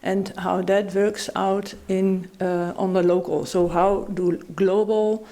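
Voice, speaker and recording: Woman guest on a TV panel, mellow and dark tone, thoughtful, pausing often. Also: medium pitch, little pitch movement. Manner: thoughtful, many fillers and pauses. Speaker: panel guest, medical anthropologist. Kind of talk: studio talk show discussion. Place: TV studio.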